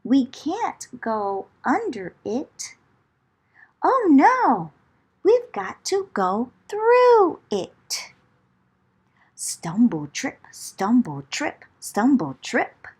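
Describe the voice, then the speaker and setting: A woman's voice: sits high, huge pitch swings, very slow, a little mellow, breathy edge. A woman reading a picture book aloud to children in a dramatic, theatrical way, with rhythmic chanting phrases. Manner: dramatic, theatrical, with whispers and exclamations. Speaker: children's storyteller.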